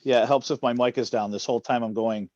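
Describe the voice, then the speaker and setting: A man's voice: mid-range voice, steady tone, quick pace, a little mellow, no echo. A man chatting casually as a player in an online tabletop roleplaying game. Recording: muffled online call audio.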